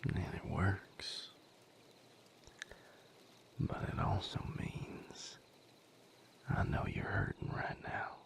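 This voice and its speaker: A man playing a protective, tender boyfriend in an intimate audio roleplay, speaking gently and earnestly in a breathy, creaky near-whisper. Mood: firm concern. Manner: slow, gentle, earnest, firm. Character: protective, tender male boyfriend tending his hurt partner.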